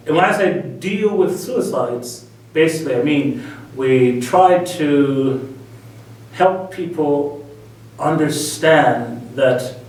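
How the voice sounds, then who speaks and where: Relaxed man in an echoey room. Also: mid-range voice. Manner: relaxed, friendly, joking. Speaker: interviewee, missionary and English teacher. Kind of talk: casual interview answers. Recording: echoey room, distant mic.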